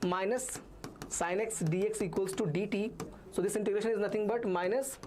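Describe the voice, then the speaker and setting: A man's voice: high-pitched, fairly even intonation, moderate pace, dark tone, slight background noise. A man with an Indian accent teaching a live online maths revision class, reassuring students and reading their comments aloud.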